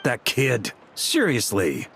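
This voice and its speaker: A man playing a wry, authoritative grandmaster of knights in a video game, speaking in a measured, sardonic way. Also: mid-range voice, huge pitch swings, gravelly, a bit bright, slight background noise, heavily acted. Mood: commanding. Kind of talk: dramatic video game voice acting.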